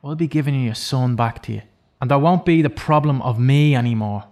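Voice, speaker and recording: An actor playing an imprisoned killer in an audio drama, self-loathing and intense, speaking with deliberate, persuasive insistence. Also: male voice, mid-range voice, a little mellow, lightly acted. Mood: bargaining, insistent.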